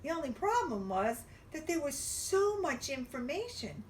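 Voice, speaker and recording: Slow, animated woman reading a children's story. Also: high-pitched, far from the mic, a little hiss or hum. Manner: expressive, drawn-out emphasis for young listeners. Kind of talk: read-aloud picture book narration.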